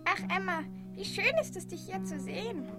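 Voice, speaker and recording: Woman acting lonely old granny, very high pitch, creaky, tender, naive, radio drama. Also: lively intonation, moderate pace, music in the background. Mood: fond. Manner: gentle, doting, full of endearments. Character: kind, naive, lonely old grandmother with timid dreams. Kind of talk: German radio play dialogue.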